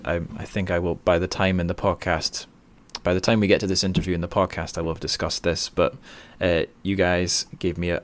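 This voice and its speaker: Male podcast host, low voice, casual interviewing, feels his way into questions and self-corrects.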